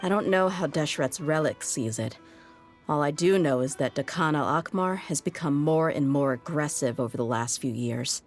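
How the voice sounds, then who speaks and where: A woman's voice: sits low, animated, moderate pace, faint music behind. A woman voicing a tough, jaded young mercenary in a video game, speaking frankly and wryly. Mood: grim, troubled. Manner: frank, wry, world-weary. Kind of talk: video game voice acting, story dialogue.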